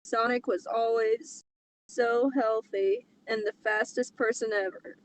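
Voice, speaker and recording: A woman's voice: a little high, fairly even intonation, unhurried. A woman co-hosting a casual comedic read-along, reading a story aloud and joking. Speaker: co-host reading fan fiction aloud. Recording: clear background noise.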